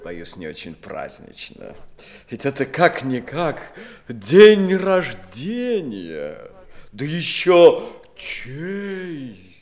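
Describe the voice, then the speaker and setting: Pompous penguin poet, swooping wildly. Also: male voice, a little high, wildly swooping pitch, extremely slow, a little mellow, exaggerated voice. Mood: flustered, self-important. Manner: pompous, hesitant, fussy. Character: male penguin poet, self-important, fussy, agonising over inspiration. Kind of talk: 1960s Russian children's audio play acting.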